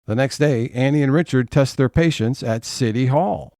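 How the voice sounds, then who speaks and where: A man's voice: mid-range voice, normal speed, gravelly, warm tone, natural voice. A man narrating scene changes in a scripted comedic audio play.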